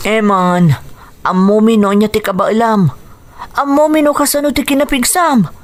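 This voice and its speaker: Woman acting an encouraging, expressive friend. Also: on the high side, normal speed, natural voice. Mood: reassuring, urging. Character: supportive, encouraging friend of a boxer, eager and reassuring. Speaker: radio drama actor. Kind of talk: radio drama dialogue in Ilocano.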